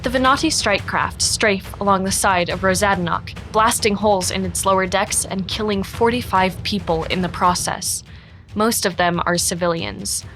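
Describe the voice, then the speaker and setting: A woman's voice: medium pitch, moderate pace, fairly bright tone, faint music behind, close to an everyday voice. A woman performing matter-of-fact, sober scripted narration of a space battle for a sci-fi audio drama.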